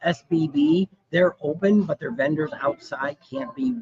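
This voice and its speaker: Slightly hoarse man rambling on a livestream. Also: a little high, sing-song, unhurried, darkish tone, muffled recording. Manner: rambling, with frequent "uh" fillers. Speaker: livestream host. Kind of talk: casual live chat. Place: restaurant at a motorcycle rally.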